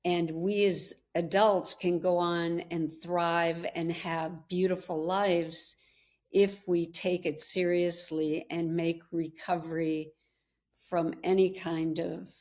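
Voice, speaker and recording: A woman's voice: on the low side, level tone, takes it slowly, a little mellow. A woman of seventy in recovery speaking earnestly about her health in an interview. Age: 70s. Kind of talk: recorded interview testimony.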